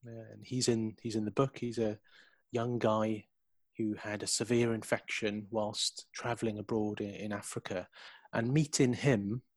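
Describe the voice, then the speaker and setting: Low-voiced doctor telling a story. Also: male voice, little pitch movement, measured pace, darkish tone, dry recording. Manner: reflective storyteller, acts out quoted dialogue. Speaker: intensive care consultant, podcast guest. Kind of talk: conversational interview answers. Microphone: video call.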